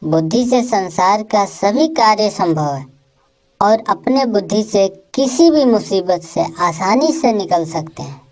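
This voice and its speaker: A woman voicing a clever, fearless male monkey who reasons with self-assurance in an animated moral story. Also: medium pitch, measured pace, natural voice, rough recording. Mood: insistent, confident.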